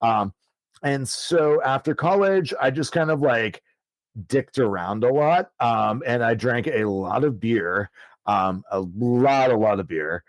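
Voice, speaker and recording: A man's voice: mid-range voice, animated, slow pace, no room sound. A man in his thirties, an author guest telling his story in a casual, rambling way with many fillers and easy swearing. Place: podcast studio.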